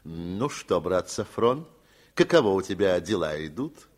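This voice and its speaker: A man voicing a genteel landowner who speaks softly with a smile, kind in a condescending way. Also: sits low, big pitch swings, a little slow, heavily acted. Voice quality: soft, smiling.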